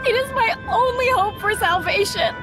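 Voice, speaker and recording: A very high-pitched young female game character, steeped in guilt and despair. She speaks in an anguished, pleading way, with music clearly behind her. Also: normal speed. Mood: anguished, resigned. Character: despairing, guilt-ridden young woman seeking salvation. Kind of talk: dramatic video game voice acting.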